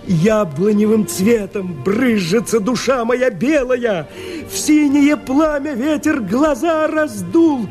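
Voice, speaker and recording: A man's voice: very high voice, expressive, slow pace, darkish tone, heavily acted. An actor playing a desperate rebel pleading for his life, declaiming verse with impassioned theatrical delivery in a radio play. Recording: radio play with music underneath. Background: music.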